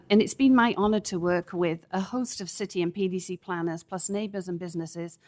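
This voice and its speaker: A middle-aged woman with a big, projecting voice gives formal testimony to a city council, speaking in a measured, emphatic, deliberate way into a podium microphone. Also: fairly low pitch. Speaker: public commenter at city council. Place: council chamber.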